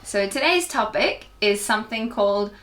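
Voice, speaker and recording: A woman's voice: medium pitch, normal speed. A woman with a New Zealand accent co-hosting a casual podcast, explaining things loosely with lots of "sort of" and "like" and dry self-deprecating asides. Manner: relaxed explaining, filler-heavy with "sort of" and "like", self-deprecating jokes. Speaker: podcast co-host.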